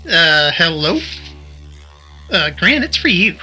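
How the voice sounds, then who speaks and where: Wry young sidekick, highish voice, very bright, casual, brisk, audio drama, music underneath. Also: male voice, big pitch swings, full character voice, poor audio. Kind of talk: naturalistic audio drama acting.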